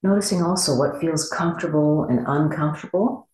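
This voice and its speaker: Echoey, gently guiding meditation teacher. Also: medium pitch. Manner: gentle, unhurried, with long pauses. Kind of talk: guided body scan meditation. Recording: echoey room.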